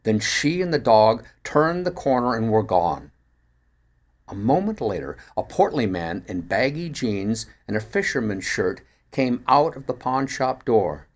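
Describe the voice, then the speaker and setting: A man reading a first-person mystery story aloud in an expressive storytelling manner, like an audiobook narrator. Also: medium pitch, a little slow. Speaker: actor reading a short story.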